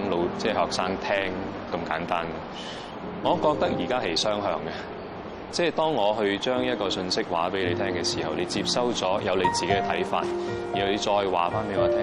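A man with a Hong Kong Cantonese accent, talking reflectively as a schoolteacher in an interview. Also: low-pitched. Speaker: secondary school Chinese teacher.

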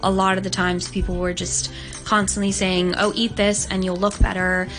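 A woman's voice: mid-range voice, flat delivery, moderate pace, music in the background. A nineteen-year-old woman from Hong Kong, a guest on an interview show, talking openly and earnestly with many "like" fillers. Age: young adult. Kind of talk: casual interview talk.

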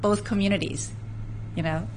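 A woman from San Francisco speaks as an interview guest, earnest and unhurried as she tells family history. Her pitch sits in the middle range. A faint noise runs underneath. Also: steady tone, darkish tone, low-quality recording. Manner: earnest, storytelling, with frequent restarts. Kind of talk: conversational interview.

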